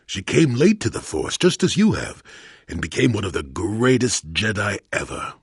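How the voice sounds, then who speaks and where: An actor playing a cantankerous, wry old male Jedi hermit in a video game, with a gravelly, husky voice and a grumbling, sardonic manner. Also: fairly low pitch, normal speed, no echo, clean studio sound, full character voice. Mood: gruff, teasing. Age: older. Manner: grumbling, sardonic, theatrically expressive. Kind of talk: video game character dialogue.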